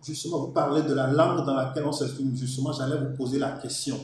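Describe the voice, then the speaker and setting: A man hosting a formal journalistic interview, speaking in a measured, structured way. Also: mid-range voice, steady tone. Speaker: interviewer, host. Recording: very echoey room, faint background noise.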